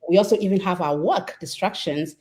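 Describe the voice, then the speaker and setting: A woman from Ghana hosting a live online panel discussion, speaking in a measured way with occasional fillers. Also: fairly low pitch, a little mellow. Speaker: host.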